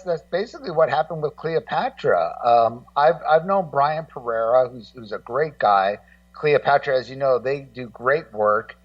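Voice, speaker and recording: A middle-aged man talking casually as a guest on a music show, rambling and repeating himself, with some salty language. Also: medium pitch. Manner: rambling, repetitive, salty-tongued. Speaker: guest co-host and music documentary maker. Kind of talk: casual music-business discussion. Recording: muffled, distant-sounding audio.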